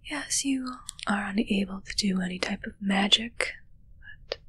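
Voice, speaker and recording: A young woman playing a calm, controlling witch in an ASMR roleplay, speaking in a slow, breathy, menacing whisper close to the mic. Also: medium pitch, slight background noise. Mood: possessive, quiet. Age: young adult. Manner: slow, hushed, soothing yet menacing. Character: sinister, calm, controlling witch who raises corpse familiars. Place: small dark curtained room indoors. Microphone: close mic, whispering near camera.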